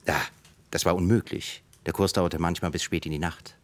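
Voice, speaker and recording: An actor voicing a self-interested young musician in a radio play, matter-of-fact and insistent. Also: male voice, sits low, steady tone, moderate pace, a little hiss or hum, natural voice. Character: self-interested young male musician, slyly making excuses. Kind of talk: radio drama dialogue and narration.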